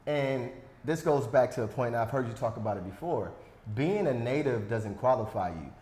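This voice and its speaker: A man's voice: mid-range voice, expressive, normal speed, a little mellow. A man hosting a podcast, chatting casually in an easygoing way with joking, self-deprecating asides. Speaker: podcast host. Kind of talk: casual interview chat. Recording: echoey room.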